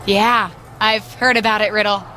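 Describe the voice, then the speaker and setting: A woman's voice: medium pitch, fairly even intonation, normal speed, bright, forceful tone, lightly acted. A woman playing a friendly but wary young pro wrestler in a video game cutscene, talking in a conversational, slightly hesitant way. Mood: bemused. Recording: faint background noise.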